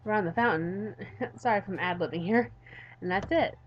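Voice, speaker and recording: A woman's voice: mid-range voice, normal speed, lo-fi sound, faint music behind. A tired woman reads a visual novel aloud in a let's-play, dropping in informal, playful asides. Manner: informal, playful, self-interrupting.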